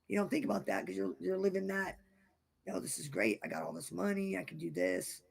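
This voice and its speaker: A man with a raspy, damaged voice that is going hoarse, chatting frankly over a video call and repeating himself as he rambles. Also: high voice, brisk. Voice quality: raspy, gravelly voice from vocal cord damage. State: losing his voice, hoarse. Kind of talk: casual late-night chat.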